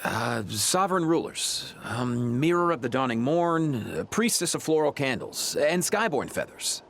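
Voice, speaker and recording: A man playing a nervous, deferential character in a video game, speaking haltingly. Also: mid-range voice, big pitch swings, vocal fry, fairly bright tone, a little hiss or hum, full character voice.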